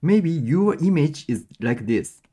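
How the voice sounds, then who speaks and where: A young Japanese man with a Japanese accent, teaching a live-streamed lesson in halting English with many restarts, switching to quick Japanese asides, at a webcam in a small room. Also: a little high, sing-song, dark tone, slightly rough.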